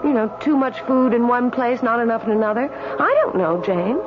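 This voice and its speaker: An actress playing a calm, insistent female spirit companion in a radio drama, urging in a matter-of-fact way. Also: a little high, normal speed, lightly acted. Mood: coaxing. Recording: noisy, dull old radio broadcast.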